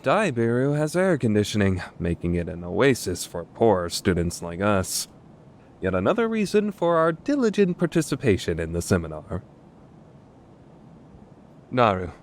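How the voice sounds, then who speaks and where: Man playing a pompous, low-voiced young mad scientist. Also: a little hiss or hum. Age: young adult. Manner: pompous, declamatory, easily indignant. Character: grandiose, theatrical young male self-styled mad scientist. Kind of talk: anime visual novel fan dub acting.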